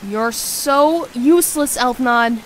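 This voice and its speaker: A woman voicing a commanding, sharp-tongued young female mage in a visual novel, dry and cutting in manner. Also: sits high, slow pace, a little hiss or hum, rough recording. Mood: scornful. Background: soft game music and rain.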